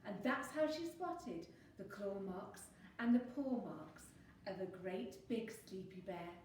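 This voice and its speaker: A woman voicing a quiet little female fox in a storybook, observant and urgent, often hushing and whispering. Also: mid-range voice, expressive, slow pace, heavy reverb, distant mic, a little hiss or hum, low-quality recording. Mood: gentle storytelling.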